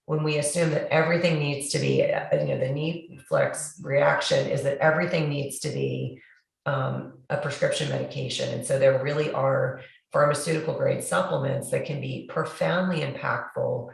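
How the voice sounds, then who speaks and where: A middle-aged woman hosting a podcast, hoarse from a cold, with a gravelly voice and thoughtful segues. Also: very deep voice, fairly even intonation, normal speed. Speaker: podcast host. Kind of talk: podcast interview. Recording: very echoey, distant from the mic.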